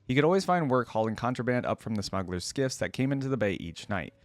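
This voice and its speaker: A man's voice: medium pitch, big pitch swings, fast talker, dry recording. A man hosting a reaction video, reading game lore aloud and breaking off for casual comments.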